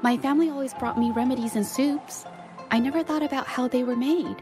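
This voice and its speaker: A woman's voice: high-pitched, brisk, over music, natural voice. A woman voicing a shy, earnest, self-doubting young woman painter in a video game, hesitant and apologetic. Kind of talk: dramatic game voice acting.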